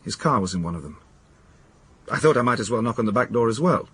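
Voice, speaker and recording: An actor playing a reserved, uneasy British academic witness in a radio drama, speaking formally, carefully and a little defensively. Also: male voice, lowish voice, animated, fast talker, fairly bright tone, slightly rough. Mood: careful, factual.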